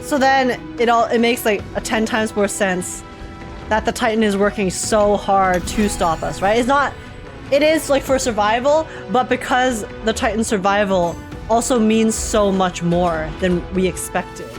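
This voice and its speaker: A woman's voice: medium pitch, a little slow. A woman game streamer giving casual reaction commentary, informally thinking aloud and questioning herself. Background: game music.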